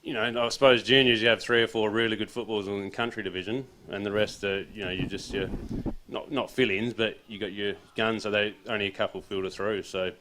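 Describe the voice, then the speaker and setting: A man's voice: fairly low pitch, a little fast, few pauses, a little hiss or hum, rough recording. A man with an Australian accent, an assistant footy coach chatting casually and rambling a little in an interview. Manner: relaxed, rambling, says he has a loud voice.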